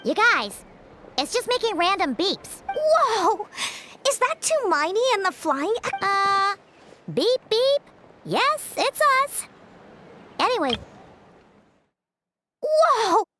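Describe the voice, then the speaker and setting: Casting: tiny fairy sidekick, extremely high, lively swooping chirps, cheerful game character, noisy audio. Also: female voice, slow pace, pauses often, heavily acted. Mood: exclamatory. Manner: chirpy, exclamatory. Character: tiny cheerful excitable fairy-like girl companion, talks in third person. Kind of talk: animated video game voice acting.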